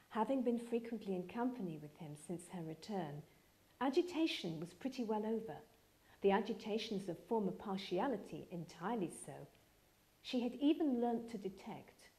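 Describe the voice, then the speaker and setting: A woman reading a novel aloud as an actress would, in expressive literary narration with deliberate, dramatic phrasing. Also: medium pitch.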